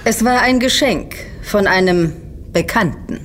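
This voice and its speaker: An actress plays a haughty, power-hungry woman of a secret order. Her medium-pitched voice is measured and condescending, with cool authority. It is an audio drama scene with music underneath. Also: fairly bright tone, a little hiss or hum, natural voice. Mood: cool, probing.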